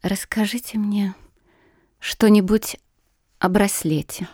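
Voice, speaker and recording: An actress playing a reserved, grieving young noblewoman in an audio drama, speaking in a hushed, hesitant way. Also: medium pitch, fairly even intonation, slow, dry recording, studio quality. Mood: quiet, restrained. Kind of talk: Russian literary audio drama acting.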